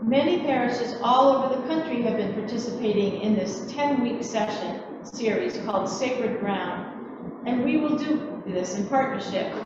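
A woman's voice: on the high side, expressive, unhurried. Echoey, distant older woman preaching. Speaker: Episcopal preacher. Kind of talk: sermon. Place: large church, at a pulpit. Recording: echoey church from far off, muffled, noisy.

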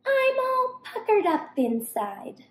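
A woman's voice: very high-pitched, wildly swooping pitch, takes it slowly, voice pushed up, exaggerated voice. A woman voicing a clever little female monkey from a storybook, sly and bossy, with theatrical emphasis. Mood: yearning. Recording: roomy indoor echo.

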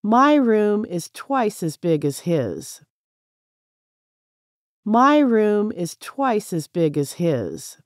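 A woman with an American accent reading English practice sentences clearly and carefully, repeating each one for learners to copy. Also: medium pitch, big pitch swings, drawn-out words, long pauses, no echo, clean studio sound.

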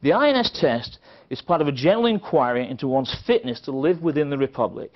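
A man's voice: a little high, expressive, fast. A middle-aged man from England, giving a keynote speech at a conference and telling stories with dry, wry asides. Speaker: conference speaker. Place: conference hall with a seated audience. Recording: dull, lo-fi sound.

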